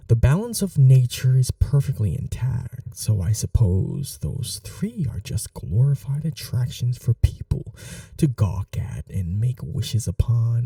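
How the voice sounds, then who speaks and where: A man playing a scheming young prince and possessive lover in a soft-spoken fantasy ASMR roleplay, speaking formally and deliberately with menacing tenderness. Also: mid-range voice, no room sound, distant mic, exaggerated voice. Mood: smug, calculating.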